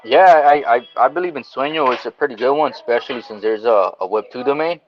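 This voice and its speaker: A man's voice: medium pitch, fast talker. Friendly guy on a muffled call, faint hiss, lotta "brother" and um's. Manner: friendly, says "brother" and "bro" often, many fillers. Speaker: guest speaker. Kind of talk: casual chat. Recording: dull lo-fi call audio with faint noise.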